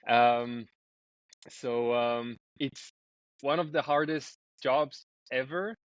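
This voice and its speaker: A man's voice: mid-range voice, takes it slowly, no room sound. A young man from Israel, a startup founder talking loosely as a podcast guest, with lots of ums and restarts. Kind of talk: casual podcast interview. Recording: dry, slightly dull remote audio.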